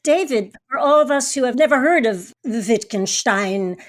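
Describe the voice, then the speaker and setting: A woman's voice: high voice, expressive, moderate pace. A woman presenting and interviewing as the host of a show.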